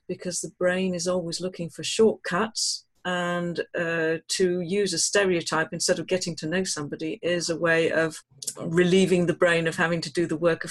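Adult speaker leading a class discussion. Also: mid-range voice. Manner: measured, thoughtful, many ums. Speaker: discussion leader.

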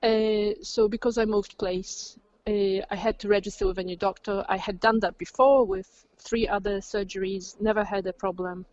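A woman's voice: medium pitch, little pitch movement, normal speed, few pauses, darkish tone, no room sound. Woman, dry and muffled. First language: Polish. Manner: plain, matter-of-fact with fillers. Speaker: interview guest. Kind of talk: casual storytelling. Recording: dry, dull lo-fi recording.

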